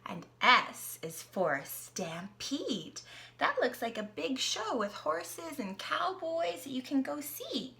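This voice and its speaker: A young woman reads an alphabet picture book aloud to her niece, playful and animated, often dropping to a whisper. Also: high-pitched, takes it slowly, gravelly. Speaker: aunt reading to her niece.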